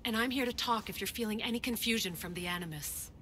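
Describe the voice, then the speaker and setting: Bright-voiced sceptical woman doctor. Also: on the high side, quick pace. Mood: measured, sceptical. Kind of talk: video game cutscene acting.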